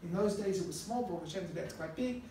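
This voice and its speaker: A man's voice: high-pitched, quick pace. A middle-aged man from Australia, a rabbi giving a conversational classroom lecture and telling stories with asides to his students. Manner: relaxed storytelling with asides to the students. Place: classroom with a live audience. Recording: echoey distant classroom recording with faint noise.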